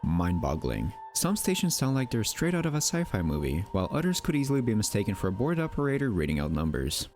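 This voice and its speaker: A man's voice: on the low side, wildly swooping pitch, fast talker, hardly any pauses, a little mellow, soft background music. A man delivering scripted documentary-style narration in a theatrical manner, with playful asides and rhetorical questions. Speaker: narrator and presenter of a mystery video channel.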